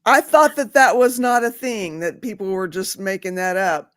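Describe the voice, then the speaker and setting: A woman in her fifties in a casual recovery meeting chat, chatty and quick to laugh, asking follow-up questions. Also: mid-range voice, expressive, a bit bright, no echo, studio quality. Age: 50s.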